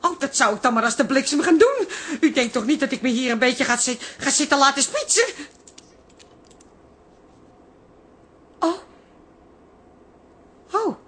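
High-voiced, anxious, sharp-tongued woman. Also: sing-song, normal speed. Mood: indignant. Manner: sardonic, chattering, blunt. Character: anxious, sharp-tongued woman hiding fear behind jokes. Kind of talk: Dutch radio drama acting.

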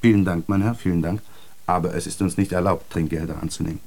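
A man's voice: low voice, steady tone, fast talker, darkish tone. A man acting in a German radio drama as a courteous, modest airline steward chatting with a passenger. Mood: polite, modest. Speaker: actor.

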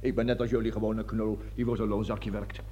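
An actor in a radio play voicing a self-important American army colonel who stammers, cajoles and barks orders. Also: male voice, on the low side, flat delivery, quick pace, dark tone, slightly rough. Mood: defensive. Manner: pompous, stammering, cajoling, switching between whispers and barked orders. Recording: noisy old radio recording with hum.

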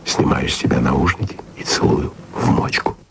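A very deep, gravelly lovesick radio man. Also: expressive, normal speed, background noise. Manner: tender, confiding, half-whispered. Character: aging émigré radio man, lovesick and self-doubting. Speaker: radio broadcaster leaving taped messages. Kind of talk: intimate radio play monologue.

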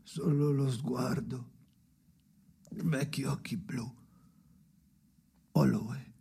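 Gravelly, slow old man, anguished. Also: medium pitch, fairly even intonation, dark tone, heavily acted. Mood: bleak, weary. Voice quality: creaky, gravelly. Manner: halting, fragmentary phrases with heavy pauses. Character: weary, anguished old man, haunted storyteller alone by the sea. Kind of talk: experimental radio drama monologue.

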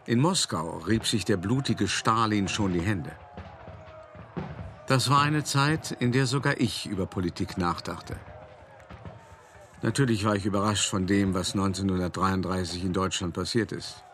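A man's voice: deep voice, normal speed, a bit bright. An actor playing a worldly, cultured older art dealer who narrates his memories in a radio play, conversational and wry, addressing the listener directly. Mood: reminiscing, wry. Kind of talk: first-person radio play monologue. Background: music.

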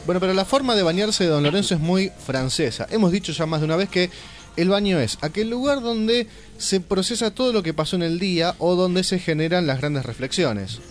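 A man's voice: sits high, lively intonation, soft background music. A man with a Rioplatense accent co-hosting a talk show, joking and teasing in casual studio banter. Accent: Rioplatense Spanish. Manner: joking, teasing, cuts in with quick remarks. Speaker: radio show co-host.

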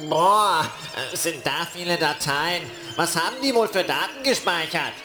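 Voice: mid-range voice, lively intonation, a little slow, reverberant, over music, natural voice. An actor playing a small, mischievous, curious computer virus in a children's radio play. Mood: awed.

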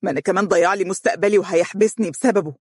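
A narrator voicing a panicked, ashamed young Egyptian man who shouts fast in despair. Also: high voice, husky, no echo, clean studio sound, voice pushed up. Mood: bitter. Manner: rapid, desperate shouting.

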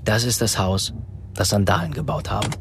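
A man's voice: lowish voice, lively intonation, fairly quick, vocal fry. A man playing a chatty, proud young Saudi host in naturalistic radio drama dialogue, familiar in manner. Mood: proud, playful.

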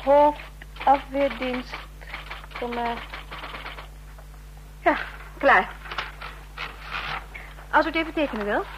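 A woman's voice: sits high, slow, pauses often, muffled recording. A woman acting in a Dutch radio play as a polite, evasive office secretary. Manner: polite, deflecting. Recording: noisy, humming old radio recording.

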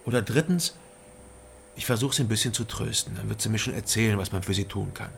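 A man's voice: fairly low pitch, fast, gravelly, slight background noise. An actor playing a weary, guarded East German man who narrates and speaks in a radio crime drama, restrained and hesitant. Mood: guarded, explaining.

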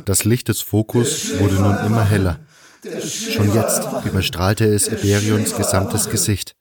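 A man narrating a fan radio play as a dramatic scripted story, with measured, deliberate phrasing and pauses. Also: fairly low pitch, little pitch movement, a loud crowd. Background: music.

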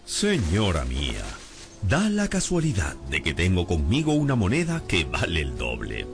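Radio drama: proud penniless gentleman, courtly and grandiose, lowish voice with huge melodic swings, faint music. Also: huge pitch swings, moderate pace, heavily acted. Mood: lofty, solemn. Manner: grandiose, courtly, old-fashioned phrasing. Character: proud impoverished gentleman, Lázaro's master, obsessed with honour. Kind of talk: scripted radio drama acting.

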